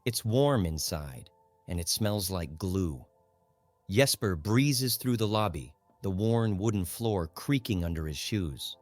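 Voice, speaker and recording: A man's voice: low-pitched, lively intonation, takes it slowly, a little mellow, dry recording, soft background music. A man narrating a literary audiobook in a scripted, dramatic storytelling style.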